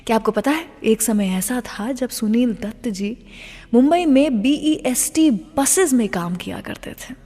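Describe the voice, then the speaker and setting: A woman's voice: fairly high pitch, lively intonation, a little slow, warm tone, long reverb tail. An Indian woman presenting a radio show conversationally, addressing listeners directly with questions and mixing English words into her speech.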